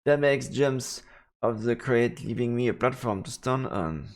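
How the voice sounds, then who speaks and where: Gravelly male streamer. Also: mid-range voice, a little slow. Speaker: game streamer. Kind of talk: live let's play, commentary and reading aloud.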